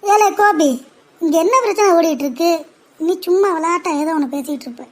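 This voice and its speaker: A woman's voice: very high-pitched, expressive, a little fast. A woman voicing a blunt, chiding old grandfather who protects his daughter-in-law in a cartoon parody.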